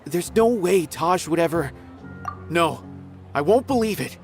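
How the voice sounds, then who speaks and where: Earnest, higher-pitched young investigator. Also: male voice, animated, measured pace, faint music behind. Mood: disbelieving, indignant. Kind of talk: video game voice acting.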